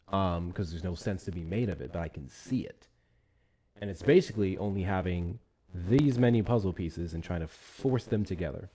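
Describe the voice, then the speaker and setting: Male streamer, low-pitched, casual political chat, animated, repetitive. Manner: animated, repeats himself, thanks viewers for gifts. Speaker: livestream host. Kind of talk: casual live-stream commentary.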